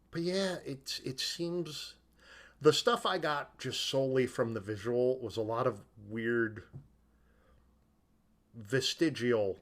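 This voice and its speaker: A man's voice: mid-range voice, fairly bright tone. A man co-hosting a podcast, giving wry commentary in a casual conversation about films. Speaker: podcast co-host.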